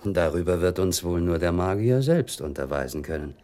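Guy playing confident gentleman in a radio play, really deep voice, formal n measured. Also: close to an everyday voice. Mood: confident reasoning. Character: gentleman companion, confident and reasoning. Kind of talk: German radio drama acting.

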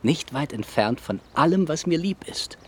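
An actor playing a courteous, self-assured Regency gentleman suitor in a radio play, with a polished, persuasive, formal manner. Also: fairly high pitch, expressive, normal speed, slightly rough. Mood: wooing. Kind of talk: period radio drama acting.

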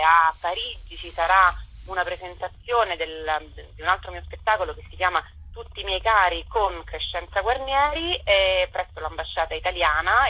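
A woman's voice: lowish voice, pushed and bright, husky, rough recording. A woman giving a radio interview as a theatre writer and director, repeating words as she searches for phrasing, over a telephone.

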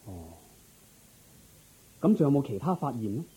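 A man playing a dignified, courteous and curious king in a radio drama, with stately, expressive theatrical delivery. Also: mid-range voice, normal speed, husky, poor audio. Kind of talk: Cantonese Buddhist radio drama acting.

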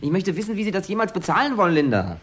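A man's voice: high voice, big pitch swings, very fast. An actor playing a reluctant, grumbling man of thirty-nine in a German radio play, speaking in a dry, understated way. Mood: grumbling, sarcastic.

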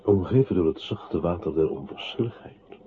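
A man performing as narrator of a literary radio drama, telling the story in an expressive, dramatic way. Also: low voice, normal speed. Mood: measured. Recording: tinny band-limited audio with clear noise.

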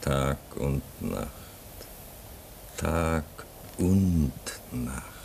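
Tiefer, schleppender, heimwehkranker Mann. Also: male voice, extremely low pitch, animated, extremely slow, gravelly, a little mellow, a little hiss or hum, heavily acted. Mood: brooding, weary. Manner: brooding, theatrical, swinging between muttering and outcry. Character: homesick, restless polar station man desperate to go home. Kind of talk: German radio play acting.

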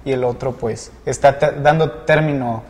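A young Mexican man in his twenties joins as a student guest, speaking with many fillers and restarts. His voice sits at a middle pitch. The room gives it a long echo. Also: moderate pace, slight background noise. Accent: Mexican Spanish. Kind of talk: casual discussion and prayer.

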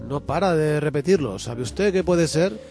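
A man's voice: fairly high pitch, lively intonation, moderate pace, darkish tone, faint music behind. A man playing a blunt, evasive hospital doctor in a comic radio soap, hesitant and then crudely offhand. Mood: matter-of-fact.

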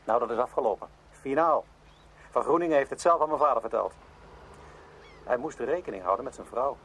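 An actor playing a self-important young man in a Dutch radio play, speaking gravely and emphatically, as one who confides. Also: medium pitch, lively intonation, brisk, a bit bright. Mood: solemn, important. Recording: noisy old radio drama recording.

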